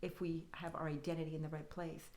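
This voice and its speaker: A woman's voice: low-pitched, level tone, fast talker, a little mellow. A woman speaking in a reflective way in a conversational podcast discussion, repeating phrases for emphasis. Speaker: podcast guest.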